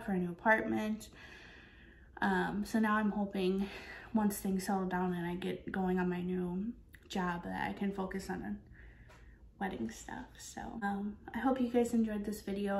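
A woman's voice: medium pitch, creaky, darkish tone. Young woman chatting in an echoey room. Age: 20s. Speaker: vlogger. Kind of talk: casual chat to camera. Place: kitchen of an apartment, indoors.

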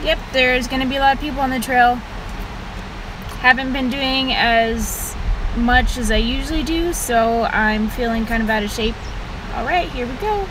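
Young woman vlogger, high voice, casual chatty delivery; phone held at arm's length outside, loud wind noise, voice set back from the mic. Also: normal speed, far from the mic. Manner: relaxed, chatty, with fillers. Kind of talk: casual vlog narration. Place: in a car, then outdoors on a windy mountain ridge. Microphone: phone camera at arm's length.

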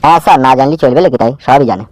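A man's voice: mid-range voice, rapid-fire, heavy background noise, lightly acted. A man playing a chatty, knowing junior policeman in a comic cartoon, gossipy and opinionated. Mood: reassuring.

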